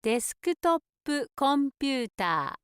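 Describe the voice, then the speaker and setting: High, lively woman drilling words. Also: no echo, studio quality. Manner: slow, careful enunciation of each word. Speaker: language course audio speaker. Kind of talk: clear word-by-word pronunciation drill.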